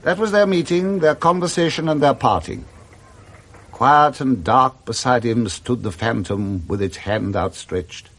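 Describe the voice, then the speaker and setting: A man with a British accent narrating a Victorian ghost story in a deliberate, theatrical radio drama style. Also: fairly high pitch, exaggerated voice.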